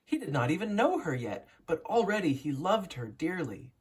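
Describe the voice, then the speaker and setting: A woman reading a children's picture book aloud as a teacher, telling the story dramatically with deliberate, emphatic pauses. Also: fairly low pitch, sing-song, breathy edge. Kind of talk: expressive children's storybook narration.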